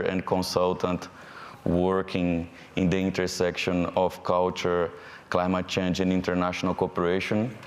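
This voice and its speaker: Deep-voiced Brazilian man moderating a panel. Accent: Brazilian Portuguese. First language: Portuguese. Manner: friendly, halting, with fillers and restarts. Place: event hall. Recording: hall reverb from a live event.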